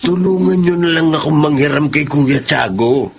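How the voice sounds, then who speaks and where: Man acting high-voiced wheedling middle-aged father. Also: moderate pace, slight background noise, close to an everyday voice. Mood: pleading. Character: pleading, debt-ridden middle-aged father, evasive with his mother. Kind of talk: radio drama acting.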